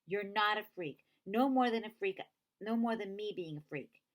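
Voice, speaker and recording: Woman acting feisty girl, raised pitch, earnest, insistent, quick-tempered, storybook read-aloud. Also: animated, lightly acted. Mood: pleading. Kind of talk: children's novel read aloud.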